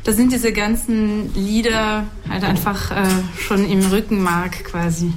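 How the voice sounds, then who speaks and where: A woman from France, a native French speaker with a light French accent, giving chatty, animated answers as a singer in an interview. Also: medium pitch, a little slow, slight background noise. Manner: chatty, animated, thinks aloud with restarts.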